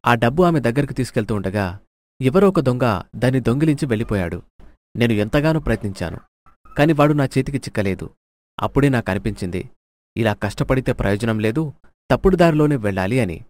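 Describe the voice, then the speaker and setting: A man's voice: mid-range voice, expressive, brisk, darkish tone, lightly acted. A man playing a remorseful thief in a storybook tale, earnestly and apologetically explaining himself. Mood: earnest, regretful. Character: remorseful, earnest male thief telling his hard story.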